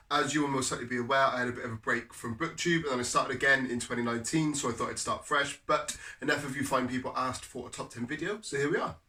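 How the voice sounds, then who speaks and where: A man's voice: medium pitch, bright, forceful tone, distant mic. A man from the UK reviewing books in a casual vlog, nasal and congested from a cold, chatty and repeating words with frequent ums. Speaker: book reviewer.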